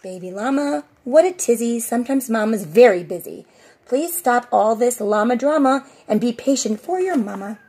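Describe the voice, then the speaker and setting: Middle aged lady doing story time for little kids, high voice, kinda sing-song, stretches out line endings. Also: animated, normal speed, mellow, slightly rough. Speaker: preschool teacher reading a storybook. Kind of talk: children's story read-aloud. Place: outdoors on a covered porch.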